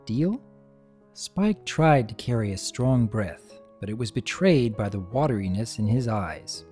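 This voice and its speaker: A narrator reading a story aloud in an expressive storytelling style. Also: mid-range voice, a little slow. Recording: music behind the voice.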